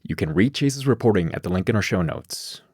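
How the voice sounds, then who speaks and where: A man's voice: lowish voice, lively intonation, a little fast, a little mellow, breathy edge, no echo. An American man hosting and reporting in a scripted public radio news style, close on a studio microphone. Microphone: close studio microphone, dry.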